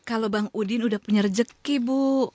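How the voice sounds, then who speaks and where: A woman playing a patient, struggling young wife in a radio drama, speaking gently and with resignation. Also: fairly high pitch, steady tone, a little fast, natural voice. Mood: patient, resigned.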